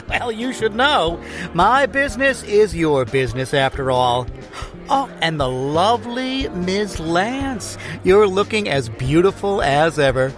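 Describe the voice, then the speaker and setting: A man acts out an oily, leering businessman in an audio drama. He talks slowly in a high voice that slides up and down with unctuous relish. Music plays clearly behind him. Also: big pitch swings, heavily acted. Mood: smarmy. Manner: unctuous, drawling, chuckling. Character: smarmy, chuckling, leering male business magnate.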